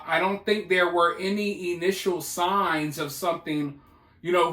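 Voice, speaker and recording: A man's voice: high voice, fairly bright tone, reverberant. A man giving casual, opinionated news commentary, speaking emphatically in colloquial language and repeating himself for effect. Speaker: commentator hosting a news video.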